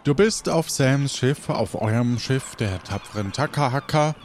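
A man's voice: mid-range voice, expressive, measured pace, darkish tone, slight background noise. A man hosting and narrating an improvised audio adventure as game master, in a playful, dramatic way.

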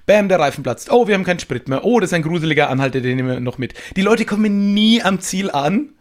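A man's voice: high voice, lively intonation, fast. A man chatting as a podcast guest, explaining improv in a talkative, casual way with little examples.

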